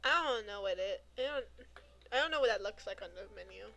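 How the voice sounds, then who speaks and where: A woman's voice: mid-range voice, lively intonation, quick pace, creaky, bright, forceful tone. A woman chatting casually as she streams a video game. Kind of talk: casual chat while playing a video game. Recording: game music in the background.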